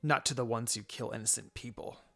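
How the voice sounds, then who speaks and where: A man's voice: mid-range voice, steady tone, fairly quick, creaky, fairly bright tone. Casting: young female ninja for a story reading, performed by a man, spirited, plain-spoken, tender underneath. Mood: stern, defiant. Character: feisty young ninja woman, blunt, secretly lovestruck.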